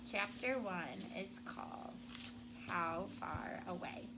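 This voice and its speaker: A woman's voice: lowish voice, slow, very noisy. A woman schoolteacher reading aloud and talking to children in a classroom, explaining in simple words and checking in with questions.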